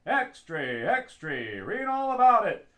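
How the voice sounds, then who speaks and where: An actor playing a street newsboy hawking papers with loud sing-song cries in a radio drama. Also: male voice, high-pitched, huge pitch swings, takes it slowly, full character voice.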